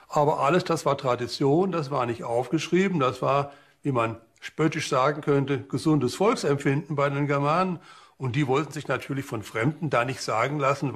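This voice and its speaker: A man's voice: mid-range voice, gravelly, husky. A man explaining as an expert in a documentary interview, stressing points by repeating himself.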